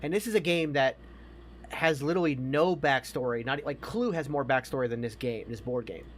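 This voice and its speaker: A man's voice: medium pitch, a little fast. Opinionated, profane man over background noise. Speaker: podcast co-host. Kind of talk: casual chat about movies.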